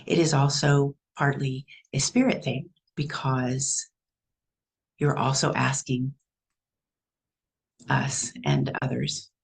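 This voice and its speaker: A Texan-accented woman channeling a calm, guiding female spirit in a slow, soothing way. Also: extremely low pitch, full character voice.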